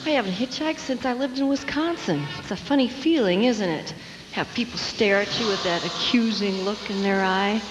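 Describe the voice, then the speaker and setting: A woman playing an earnest, sensible but anxious traveler, polite and expressive, in a comic radio drama. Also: mid-range voice, moderate pace, noisy background, natural voice. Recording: echoey stage, music behind.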